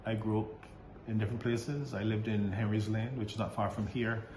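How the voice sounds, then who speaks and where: Hesitant priest, low voice. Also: male voice, steady tone. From Barbados. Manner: hesitant, halting, many fillers and restarts, laughs often. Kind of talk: casual interview answers. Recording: roomy recording with faint background noise.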